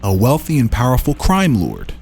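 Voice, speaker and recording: A man's voice: mid-range voice, wildly swooping pitch, normal speed, creaky, darkish tone, husky. A man hosting in a casual style, reading game lore aloud and breaking off into musing, informal asides. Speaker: host presenting fan-made game lore. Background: music.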